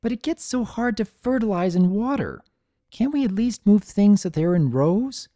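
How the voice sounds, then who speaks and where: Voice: mid-range voice, lively intonation, normal speed, mellow, dry recording, clean studio sound, voice pushed up, lightly acted. A performer voicing a stubborn, skeptical young mare pony in a fan fiction reading, insistent and protesting. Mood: insistent, exasperated.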